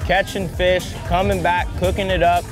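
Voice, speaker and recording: A man in his thirties co-hosting a vlog from a boat, relaxed and enthusiastic, joking with his partner. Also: sits high, normal speed. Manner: laid-back, enthusiastic, joking. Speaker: vlog co-host. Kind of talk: casual outdoor vlog chat. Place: boat on open water outdoors. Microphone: clip-on mic. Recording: music under the voice.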